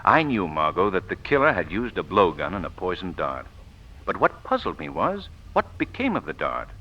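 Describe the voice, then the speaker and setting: An actor playing a suave, confident, teasing gentleman sleuth, gently condescending, in 1940s radio drama style. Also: low voice, wildly swooping pitch, moderate pace, full character voice. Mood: amused, confident. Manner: smooth explaining, gently condescending. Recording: muffled vintage radio broadcast.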